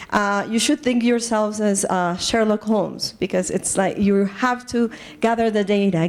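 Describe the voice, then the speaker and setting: A Colombian-accented woman, likely in her thirties, lectures a room of colleagues in an earnest, engaged way. Her talk is full of ums, restarts and small self-corrections as she builds her points. She sits at an everyday middle pitch for a woman. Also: a little mellow. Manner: earnest, lots of fillers and restarts, engages audience. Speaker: assistant professor, presenter. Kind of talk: academic lecture to a live group. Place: conference room.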